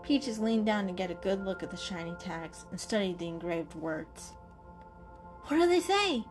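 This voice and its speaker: A woman's voice: fairly low pitch, steady tone, moderate pace. Woman narrating a storybook over music.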